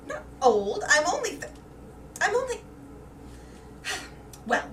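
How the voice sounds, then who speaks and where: A woman's voice: very high-pitched, huge pitch swings, slow, exaggerated voice. A woman playing a vain, anxious middle-aged wife in a staged reading, with a theatrical, emotional delivery. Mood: coy fussing. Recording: distant roomy stage recording with background noise.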